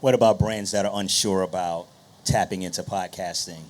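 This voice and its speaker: Slightly deep-voiced male panel moderator. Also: fairly even intonation, moderate pace. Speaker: panel moderator, music company executive.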